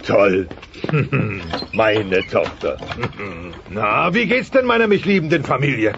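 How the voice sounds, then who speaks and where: An actor playing a blustering middle-aged dinosaur father with a creaky voice in an audio drama, hesitant and doting by turns. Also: fairly low pitch, lively intonation, slow pace, heavily acted. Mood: jovial. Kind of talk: German children's audio drama acting. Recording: dull, slightly noisy cassette transfer.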